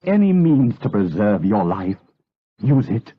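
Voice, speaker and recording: An actor playing a tormented, lustful monk in a gothic radio drama, with fervent, overwrought delivery and a husky voice. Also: male voice, on the low side, big pitch swings, measured pace, heavily acted. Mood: fervent, anxious. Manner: overwrought, fervent, formal archaic diction. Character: Ambrosio, tormented, lustful monk torn by passion. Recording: lo-fi, tinny 1980s audio drama recording.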